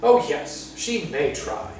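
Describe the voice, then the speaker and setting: Man as a smooth, superior storybook cat, echoey. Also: on the high side, big pitch swings, slow, noisy background, pitched above the performer's own voice, heavily acted. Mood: unimpressed, superior. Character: sly, unimpressed talking cat, cruelly playful and silky. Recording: very distant, echoey room.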